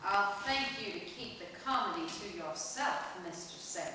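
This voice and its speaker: An actress playing a prim, guarded wife hiring a detective, with formal, deliberate diction in old radio serial style. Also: female voice, medium pitch, animated, pushed and bright. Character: prim, guarded married female noir client. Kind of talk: 1940s radio serial acting. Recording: roomy distant hall recording, faint noise.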